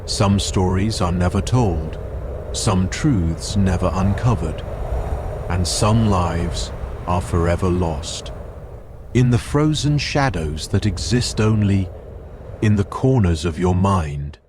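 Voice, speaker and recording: Horror narrator: male actor as solemn storyteller, low and very unhurried, over noisy ambience. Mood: solemn, ominous. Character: ominous, solemn storyteller of eerie tales. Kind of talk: ominous scripted horror narration.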